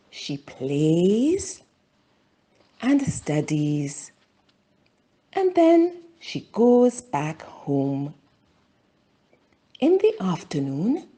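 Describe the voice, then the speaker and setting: A woman narrating a simple children's storybook for language learners, with deliberate phrasing and pauses between short phrases. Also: deep voice, warm tone, breathy edge.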